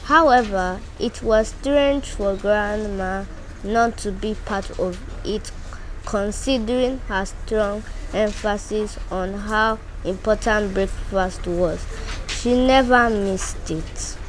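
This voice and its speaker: A woman reading a first-person story aloud, halting and repeating words as she goes. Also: medium pitch, low-quality recording. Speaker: reader. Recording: faint background noise.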